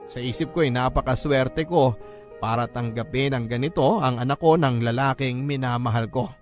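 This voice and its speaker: Filipino man narrating over soft music. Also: mid-range voice, moderate pace. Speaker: radio drama host and narrator. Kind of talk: first-person scripted letter reading. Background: background music.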